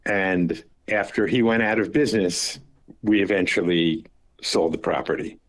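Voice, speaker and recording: A man in his seventies on a phone call, recalling the family business at an unhurried pace, often repeating words and restarting as he remembers. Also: low voice, steady tone. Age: 70s. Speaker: father recounting family business.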